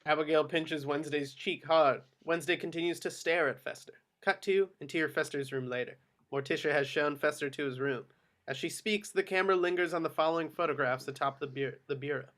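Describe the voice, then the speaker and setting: A man reads out stage directions for a table read. His voice is on the high side for a man, in a scripted narration style. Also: a little fast, fairly bright tone.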